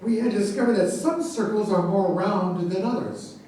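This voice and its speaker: A woman's voice: mid-range voice, moderate pace, mellow, close to an everyday voice. A woman playing an opinionated, indignant research commander in a staged satirical reading, earnest and self-righteous. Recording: echoey room, distant mic, faint noise.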